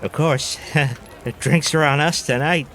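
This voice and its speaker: An effusive fantasy merchant. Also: male voice, fairly high pitch, fairly even intonation, a little slow, a bit bright. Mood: eager, grateful. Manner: eager, effusive. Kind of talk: fantasy audio drama acting.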